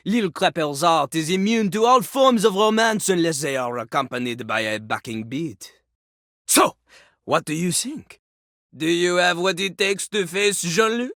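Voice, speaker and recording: A man's voice: sits high, unhurried, fairly bright tone, no room sound, studio quality. An actor playing a pompous, theatrical rapper toilet-man in a video game, boastful and grandstanding. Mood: haughty, dismissive. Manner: boastful, third-person grandstanding. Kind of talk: video game character acting.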